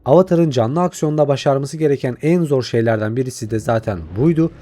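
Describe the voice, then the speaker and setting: A man's voice: mid-range voice, mellow. A man delivering scripted video essay narration as a channel host.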